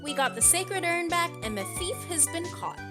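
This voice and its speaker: A woman's voice: very high voice, animated, normal speed, over loud music. A woman voicing a cheerful, curious girl sidekick in a game fan dub.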